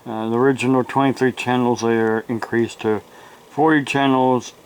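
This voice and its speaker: An American man hosting an informal, unscripted hobby vlog, talking in a halting, rambling way with long pauses. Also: medium pitch, fairly even intonation, smooth, rough recording. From United States. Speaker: YouTube radio hobby host.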